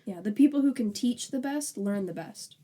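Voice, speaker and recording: A young woman in her twenties with a mellow, warm tone, co-hosting a student podcast. She talks in a relaxed, conversational way. She jokes and laughs easily. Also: fairly high pitch, normal speed. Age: 20s. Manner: casual and joking, laughs easily. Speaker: podcast co-host, alternative medicine student. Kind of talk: casual chat.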